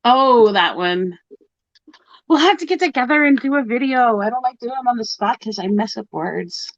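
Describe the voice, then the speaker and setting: A woman with a New York accent hosting a live stream, chatting casually with quick asides. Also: fairly high pitch, expressive, no echo, highs cut off. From New York area, US. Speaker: tarot reader hosting a stream.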